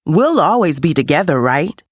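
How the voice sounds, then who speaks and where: A man voicing an eager, brave young male lion cub in a storybook, with an excitable, boyish manner. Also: on the high side, normal speed, dry recording, clean studio sound. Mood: hopeful.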